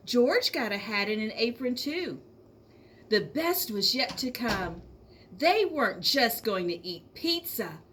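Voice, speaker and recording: A woman reading a children's storybook aloud like an elementary teacher, speaking expressively to young listeners with drawn-out emphasis. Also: a little high, a bit bright, a little hiss or hum.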